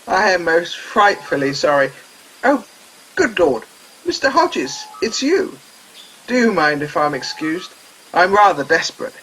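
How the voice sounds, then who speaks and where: A man plays a meek old gentleman medic for radio comedy, in a fairly high, bright voice that lilts freely. He is genteel, fussy and forever apologetic. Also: very animated, unhurried, a little hiss or hum, heavily acted, low-quality recording. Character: meek, polite elderly medic with weak bladder. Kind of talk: comic radio play acting.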